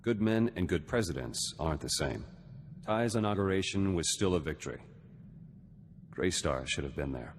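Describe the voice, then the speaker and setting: A gruff male soldier from a video game, guarded and efficient, speaking in short fragments. His deep voice moves around a lot in pitch and rings in a long reverb. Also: expressive, normal speed, a little hiss or hum. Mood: guarded, terse. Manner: clipped, terse fragments. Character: gruff, distrustful male war veteran, terse and efficient.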